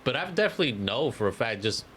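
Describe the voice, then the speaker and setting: A man's voice: mid-range voice, sing-song, quick pace, a bit bright, slight background noise. A man chatting casually as a podcast co-host, slangy and profane, often tagging on "you know what I mean".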